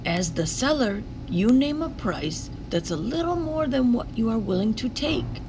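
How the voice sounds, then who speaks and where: A woman's voice: fairly high pitch, expressive, a little slow, noisy background. A woman voicing a kindly, shrewd father in a storybook, encouraging and instructive as he teaches his daughter.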